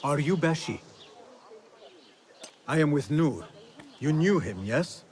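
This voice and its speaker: An actor playing a young, earnest, determined male rebel agent in a video game, speaking in a measured, purposeful, formal way. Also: on the high side, lively intonation, a little mellow. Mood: resolute. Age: young adult. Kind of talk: video game cutscene acting.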